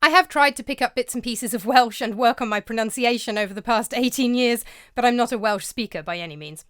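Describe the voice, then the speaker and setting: A woman's voice: on the high side, brisk, dry recording. A woman narrating her vlog in a scholarly, lightly amused tone, reading a prepared script. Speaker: vlogger and narrator. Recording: dry close-mic voice-over.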